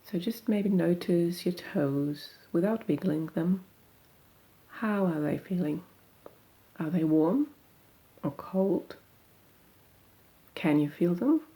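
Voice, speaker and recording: A woman guiding a meditation in a gentle, unhurried, soothing manner, leaving long pauses between phrases. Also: lowish voice, animated, rough recording. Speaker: meditation guide. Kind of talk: guided meditation.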